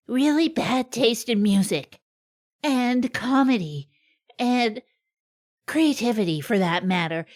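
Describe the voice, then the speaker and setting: A woman's voice: mid-range voice, a little mellow. A woman playing a self-deprecating radio host who rambles wryly through a scripted comedic confession. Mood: defensive. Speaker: fictional radio host and narrator.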